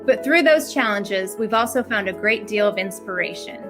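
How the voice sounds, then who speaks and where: A woman's voice: medium pitch, animated, moderate pace. A woman delivering a scripted address to viewers as the head of an organization. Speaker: ballet school president and CEO. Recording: prominent music under the voice. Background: music.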